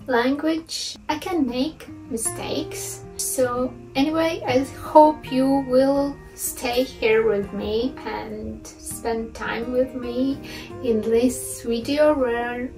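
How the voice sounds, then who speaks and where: Latvian woman vlogging slowly, soft music behind. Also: high voice, fairly even intonation, far from the mic, low-quality recording. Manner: cheerful, giggly, hesitant. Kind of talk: casual vlog chat. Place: bright room at home.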